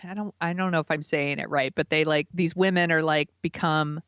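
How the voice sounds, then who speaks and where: Low-voiced woman, slightly dull recording. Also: fairly even intonation, brisk, fairly bright tone, clean tone, no room sound. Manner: blunt, informal, sweary. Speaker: podcast co-host. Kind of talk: casual reactive chat.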